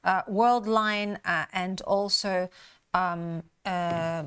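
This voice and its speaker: A woman's voice: on the low side, steady tone, drawn-out words, fairly bright tone, clear, resonant tone. A woman hosting a live trading show, chatting through stock moves with many fillers and an upbeat manner. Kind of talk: live market commentary chat.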